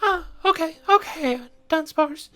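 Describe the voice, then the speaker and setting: Woman voicing a high-pitched, fussing creature medic. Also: measured pace, faint music behind, heavily acted. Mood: concerned, brisk. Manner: gentle, hesitant, solicitous.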